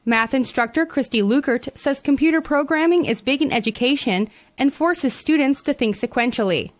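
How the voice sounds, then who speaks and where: A woman news reporter reading scripted local news stories in a measured, even delivery. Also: high voice.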